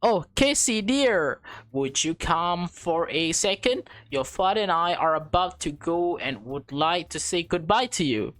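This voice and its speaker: A woman's voice: fairly low pitch, animated, unhurried, fairly bright tone. A woman reading out a visual novel character, a bustling, complaining but affectionate mother in her forties. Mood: relieved, fond.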